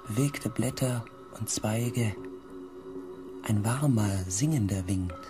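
A man playing a reflective expedition member, narrating his report in a measured, literary way in a science fiction radio play. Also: on the low side, creaky. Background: music.

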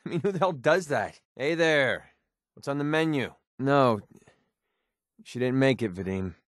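An actor playing a cocky, sarcastic young mercenary companion in a video game, with an American accent and a wry, grumbling, slangy delivery. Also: male voice, mid-range voice, expressive, a little slow, no echo, clean studio sound, natural voice. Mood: exasperated. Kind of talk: video game character dialogue.

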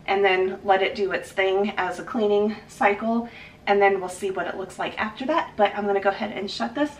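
A woman's voice: medium pitch, a little fast, distant mic, a little hiss or hum. A woman in her thirties talking casually while she cleans her kitchen, thinking aloud with fillers and restarts. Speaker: cleaning vlogger. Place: home kitchen, indoors. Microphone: camera mic from a few feet away. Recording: roomy kitchen sound from off-mic.

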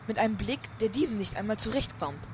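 A man's voice: sits high, lively intonation, fast talker, a little hiss or hum. A man narrating a parody audio drama in the first person, as scripted storytelling. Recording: music under the voice.

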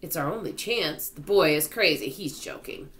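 Lady doing a scared whiny worm guy from a kids storybook, loud and swoopy, always panicking. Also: low voice, expressive, moderate pace. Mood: scornful. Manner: panicky, wailing, insistent. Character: fearful, whining, gloomy male earthworm in storybook.

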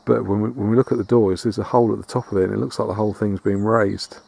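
Low gravelly man touring an old barn. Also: quick pace, no room sound. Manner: relaxed, hesitant with fillers and restarts. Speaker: vlogger restoring a farmhouse. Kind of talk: casual walk-around tour. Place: outdoors in a farmyard and inside a large barn.

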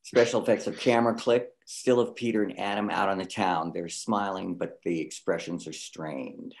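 A man with a low voice narrates a script at a table reading. He reads out scene headings and stage directions between the actors' parts. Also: fairly even intonation, moderate pace, creaky, rough recording.

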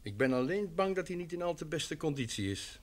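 An actor playing a matter-of-fact police inspector in a vintage radio play. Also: male voice, on the high side, sing-song, fairly quick.

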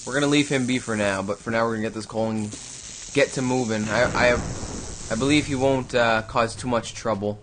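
A man's voice: mid-range voice, fairly even intonation, fairly quick, noisy background. A young man giving casual, rambling gameplay commentary, talking to himself and his viewers close to the mic. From Canada. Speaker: gaming YouTuber. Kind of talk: casual let's-play commentary.

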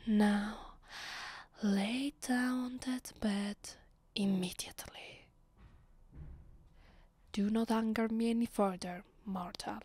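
Woman acting as haughty ancient female vampire, Italian accent, breathy half-whisper, unhurried delivery, close dry sound, ASMR roleplay. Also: a little high, darkish tone, no room sound. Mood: cold, commanding. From Italy. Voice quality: breathy, often near whisper. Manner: slow, imperious, dramatic. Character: haughty centuries-old female vampire mistress, torn by love.

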